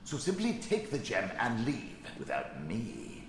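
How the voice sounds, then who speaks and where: An actor playing a haughty, sardonic dead wizard's spirit in a video game, speaking in an imperious, theatrical way. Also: male voice, medium pitch, huge pitch swings, measured pace. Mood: disdainful. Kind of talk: scripted video game acting. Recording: echoing cavernous reverb, faint noise.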